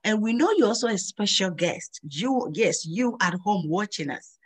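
A middle-aged woman, hosting a children's Bible lesson over a video call and slowly drilling memory verses in a sing-song teacher voice. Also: medium pitch, animated, husky. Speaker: host of a children's Bible program.

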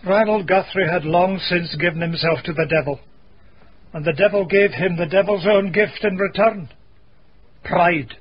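An actor playing a grave, stern Scottish minister in a radio mystery, speaking solemnly and deliberately. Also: male voice, high-pitched, lightly acted. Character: grave elderly Scottish minister, self-deprecating and morally stern. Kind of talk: dramatic radio acting. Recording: dull, faintly noisy radio recording.

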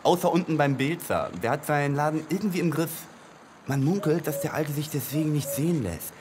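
An actor in a radio play playing a world-weary clerk who explains things in a matter-of-fact grumble. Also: male voice, a little high, a little fast, noisy background, natural voice.

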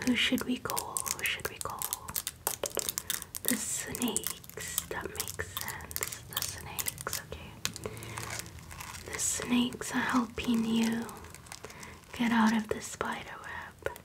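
ASMR whisperer, woman, very slow, even and hushed, playful, close mic in a small room. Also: a little high, low-quality recording. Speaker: ASMR creator.

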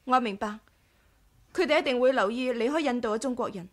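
A woman with a Hong Kong Cantonese accent playing a sharp, cautious adventuress in a 1980s radio drama. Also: fairly high pitch, fairly quick, lightly acted. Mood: wary.

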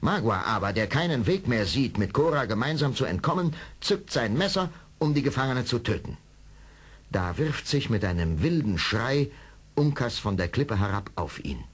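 A man with a husky voice narrating an adventure radio play dramatically from a script. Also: medium pitch, sing-song, moderate pace.

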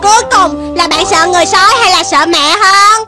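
A woman voicing a childlike, excitable robot cat in a cartoon dub, anxious and exclamatory. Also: extremely high pitch, moderate pace. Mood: playful, explaining. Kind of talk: Vietnamese cartoon dubbing. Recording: music behind the voice.

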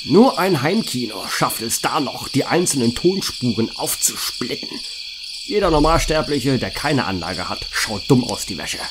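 A man's voice: mid-range voice, big pitch swings, normal speed, heavy background noise, close to an everyday voice. A man playing a jittery, talkative camper in a self-aware horror-comedy audio play. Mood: wry. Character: jittery, talkative male camper and flustered story writer. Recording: music behind the voice.

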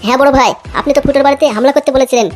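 A woman voicing excitable, foul-mouthed cartoon gangsters, blustering and repeating phrases in a comic dubbing style. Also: high voice, racing, faint music behind, natural voice. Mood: deferential.

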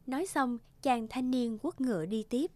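A woman's voice: on the high side, moderate pace, dark tone, a little hiss or hum. A woman narrating a scripted fairy tale as the storyteller.

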